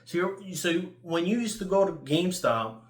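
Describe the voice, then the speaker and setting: A man with a New York accent telling casual, rambling stories in an animated, crude way, swearing freely. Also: high voice, moderate pace, reverberant. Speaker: YouTuber.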